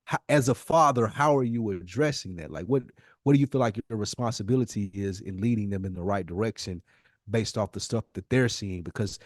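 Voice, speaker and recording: A man's voice: fairly low pitch, a little fast, no echo, studio quality. A man co-hosting a podcast in casual chat, thinking aloud reflectively and circling back over his words. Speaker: podcast co-host.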